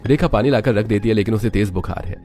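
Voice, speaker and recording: A man's voice: on the low side, fast talker, darkish tone. A man narrating a scripted dramatic story, with music underneath.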